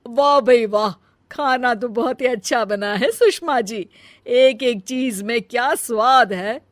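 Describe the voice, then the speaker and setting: A woman voicing a hearty visiting aunt in a cartoon story, loud and effusive with her praise. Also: fairly high pitch, slow pace, no echo, studio quality. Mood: praising. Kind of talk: cartoon voice acting.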